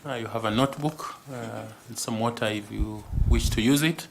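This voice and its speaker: A man chairs an interview panel, his voice in an ordinary middle register. He speaks courteously and deliberately into a table microphone in an interview room. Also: medium pitch, creaky, noisy background. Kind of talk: formal panel interview opening.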